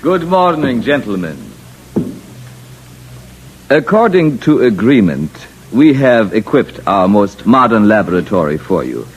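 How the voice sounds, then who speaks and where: An actor playing a courteous, smugly confident alien official in an old radio drama, speaking stiffly and precisely without contractions. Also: male voice, lowish voice, sing-song, measured pace, husky, full character voice. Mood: formal, polite. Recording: muffled vintage radio broadcast.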